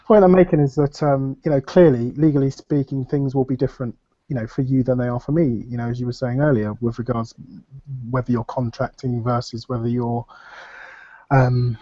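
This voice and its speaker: A man's voice: mid-range voice, fairly even intonation, brisk, dark tone, dry recording. A man with a nasal voice from a cold, talking in a rambling, hesitant way with many fillers on a casual video call. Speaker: panel guest. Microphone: computer microphone on video call. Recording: dull dry video call audio.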